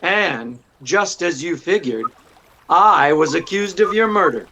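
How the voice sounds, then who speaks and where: An actor playing a witty, self-assured detective, explaining things in an unruffled, dryly courteous way in 1940s radio drama style. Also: male voice, on the high side, animated, slow, noisy background. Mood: explaining coolly. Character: witty, self-assured male amateur detective laying out his deduction.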